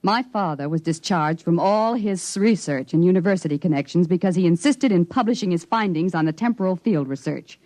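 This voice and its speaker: A woman's voice: lowish voice, expressive, a little fast, few pauses, a little mellow, dry recording, close to an everyday voice. An actress playing an ambitious, businesslike woman scientist with a formal, articulate manner, in 1950s radio drama style. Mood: brisk, serious.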